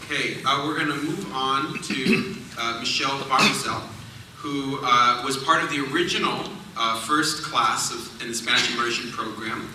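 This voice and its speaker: A man moderating a panel, introducing the next speaker with many ums and uhs. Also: mid-range voice. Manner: halting, many fillers. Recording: echoey hall, far from mic, noisy.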